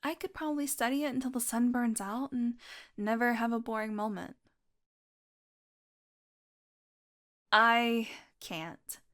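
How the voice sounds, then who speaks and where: A woman's voice: on the high side, fairly even intonation, normal speed, fairly bright tone, natural voice. A woman acting a playful, sardonic, curious young vampire in an intimate audio roleplay. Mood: earnest, casual. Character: teasing, curious young female vampire doing experiments.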